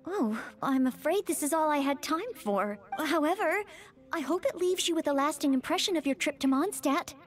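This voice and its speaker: A woman's voice: sits high, lively intonation, moderate pace, faint music behind. A woman playing a polite, earnest young maid knight in an anime game, formal and eager to help. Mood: warm, ceremonious. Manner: formal, courteous, helpful. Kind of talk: anime game character dialogue.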